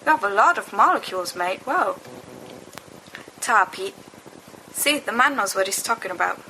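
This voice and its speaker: A woman voicing giggly, stoned teenage schoolboys in an audiobook, rambling in excitable slang. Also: mid-range voice, normal speed. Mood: stoned excitement. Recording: noisy amateur home recording.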